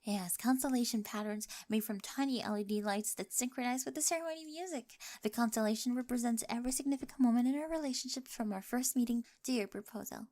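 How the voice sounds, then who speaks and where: A woman's voice: a little high, quick pace, few pauses, a little mellow, lightly acted. A woman acting a quirky, analytical young bride in a scripted ASMR roleplay, earnest and loving, with precise scientific phrasing. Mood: bubbly, affectionate.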